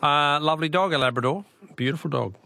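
A man's voice: on the high side, quick pace, vocal fry, bright, forceful tone, a little hiss or hum. An Australian man hosting a live phone-in radio quiz, chatting with callers in a jokey, bantering way.

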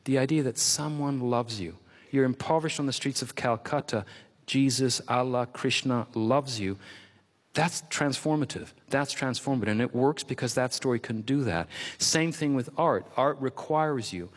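A man's voice: mid-range voice, moderate pace, long reverb tail. A man from Quebec, a native French speaker, speaking as an author in an interview, thoughtful and expansive, repeating words for emphasis. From Quebec, Canada. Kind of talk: interview conversation.

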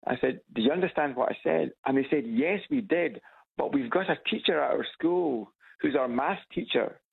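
A man's voice: fairly high pitch, lively intonation, normal speed, creaky, breathy edge. A man with an Edinburgh Scottish accent calling in to a radio show, hesitant and repeating his words as he chats. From Scotland. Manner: hesitant, repeats words, chuckles at own stories. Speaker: caller. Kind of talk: casual phone chat. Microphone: phone on loudspeaker. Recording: tinny phone line.

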